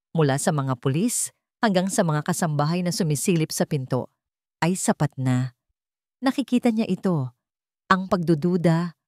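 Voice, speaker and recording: A woman with a Filipino accent reads a scripted dramatic story in a measured storytelling manner. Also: fairly low pitch, darkish tone, dry recording, studio quality. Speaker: story narrator. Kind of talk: scripted dramatic narration of a Tagalog love story.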